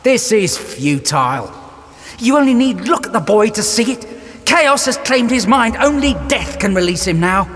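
A man's voice: sits high, animated, unhurried, a bit bright, big-room sound, voice pushed up. A man voicing a grim soldier who speaks bluntly and without hope.

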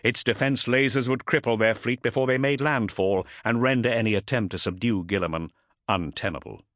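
A man voicing a stern, resolute warrior captain in an audiobook, speaking formally with measured, emphatic oratory. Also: mid-range voice, a bit bright, no room sound, studio quality, voice pushed up, close to an everyday voice. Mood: grave, resolute.

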